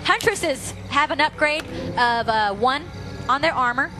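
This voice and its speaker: A woman's voice: high-pitched, unhurried. A woman doing play-by-play commentary on a strategy game match, talking with frequent fillers while losing her voice. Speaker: esports caster. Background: loud music.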